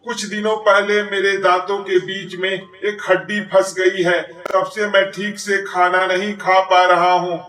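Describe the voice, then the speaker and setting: A man voicing a proud, selfish tiger in an animated children's fable. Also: sits high, measured pace, hardly any pauses. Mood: suffering, despairing. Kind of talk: children's cartoon voice acting. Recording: distant, echoey voice recording.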